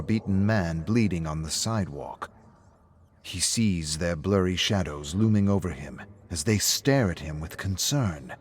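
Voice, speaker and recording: A man's voice: deep voice, darkish tone, breathy edge. A man reading scripted story narration.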